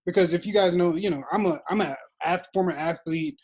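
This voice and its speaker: A man's voice: sits high, fairly quick, a little mellow. A man talking casually and reflectively, feeling his way toward questions as he goes. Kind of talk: casual conversation.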